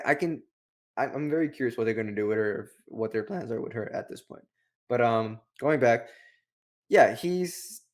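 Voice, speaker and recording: Man chatting in rambling, slangy style. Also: mid-range voice. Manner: rambling, heavy use of "like". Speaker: book review show co-host. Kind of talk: casual, slangy chat, retelling and commenting.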